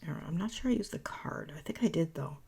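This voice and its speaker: A woman with a raspy, worn-out voice, chatting her way through a papercraft tutorial voice-over in a rambling, self-correcting way. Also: fairly low pitch, lively intonation, fast talker, mellow. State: voice worn from talking all day.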